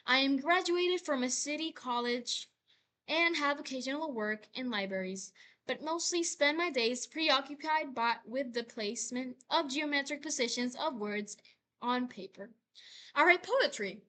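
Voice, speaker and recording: A young woman voicing a tormented, self-loathing young intellectual in a measured dramatic reading. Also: high voice, fairly bright tone.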